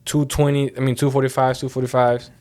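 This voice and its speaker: A man's voice: medium pitch, flat delivery, quick pace, mellow. A young man from Venezuela co-hosting a podcast, talking in an easygoing, agreeable, slangy way. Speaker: podcast co-host. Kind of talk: casual podcast chat.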